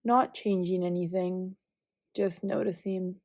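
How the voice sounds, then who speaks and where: A woman leading a guided visualization meditation, giving calm, gentle, measured instructions with long pauses between phrases. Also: on the low side, no room sound.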